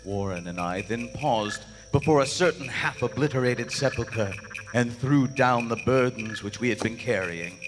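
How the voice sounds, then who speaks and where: An actor playing a nervous, loyal gentleman who narrates a horror tale in formal, florid style for a live radio play. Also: mid-range voice, moderate pace, lightly acted. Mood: haunted, solemn. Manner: formal, florid, dramatic delivery. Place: on stage in a large theater. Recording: live theater hall reverb, music behind. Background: music.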